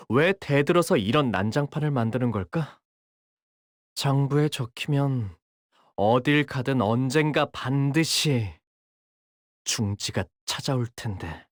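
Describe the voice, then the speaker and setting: An actor voicing a coolly menacing young male gangster underling in a game, measured and sardonic, deferential to his bosses. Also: fairly high pitch, dry recording, studio quality. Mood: cold, menacing. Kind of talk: Korean game character voice lines.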